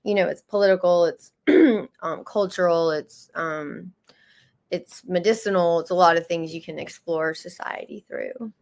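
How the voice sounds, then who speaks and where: A woman's voice: fairly low pitch, a little slow, creaky, darkish tone. A woman from the United States, a magazine founder and publisher, answering interview questions in a casual, hesitant way full of fillers and restarts; she calls herself a little shy. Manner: self-described shy.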